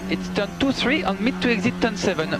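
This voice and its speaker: A man with a fairly high voice works as a race engineer on team radio. He speaks in short, clipped instructions and repeats them. There is loud music-like sound and vehicle noise behind him. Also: fast talker, vocal fry.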